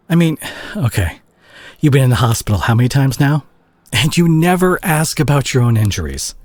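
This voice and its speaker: A man's voice: mid-range voice, breathy edge, dry recording. A man playing a weary, worried superhero talking intimately to an injured teammate in an audio roleplay. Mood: exasperated worry. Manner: hushed, halting, sighing, turning exasperated.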